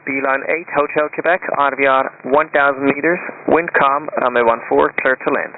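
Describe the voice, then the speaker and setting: A man working as an airport tower controller, reading out clearances in clipped radio phraseology. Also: mid-range voice, steady tone. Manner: rapid, routine clearances read off in set phrases. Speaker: tower air traffic controller. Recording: tinny radio transmission with faint hiss.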